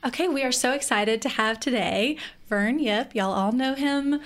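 A woman with a fairly high voice co-hosts a podcast. She chats in a relaxed, easy way and often laughs as she talks. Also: normal speed, loud music behind the voice. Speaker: podcast co-host. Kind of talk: casual podcast chat.

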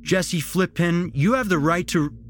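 A man voicing a stern, forceful police officer in an audio story, barking commands. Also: sits high, unhurried, soft background music, voice pushed up.

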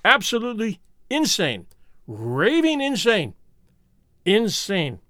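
A man's voice: high voice, huge pitch swings, slow, pushed and bright, no echo, studio quality, pitched above the performer's own voice, heavily acted. A man playing a frightened, tormented man in an audiobook who fights for his sanity with agitated, emphatic repetition. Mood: shaken.

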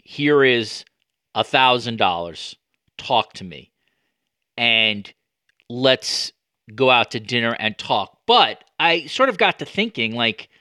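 A man hosting a podcast interview in a conversational way, deliberately working around to his questions with careful qualifications. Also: mid-range voice, sharp tone, no room sound, clean studio sound. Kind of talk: conversational sports media interview.

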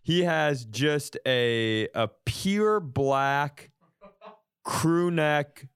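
A man's voice: on the high side, very slow, clear, resonant tone, dry recording, studio quality. An American man co-hosting a comedy podcast with casual, profane banter and a mock-indignant manner.

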